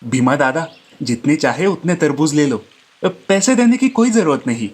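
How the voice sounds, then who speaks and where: A man's voice: a little high, lively intonation, moderate pace, breathy edge. A man voicing a meek, deferential village fruit seller who pleads servilely in a cartoon story. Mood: nervous deference.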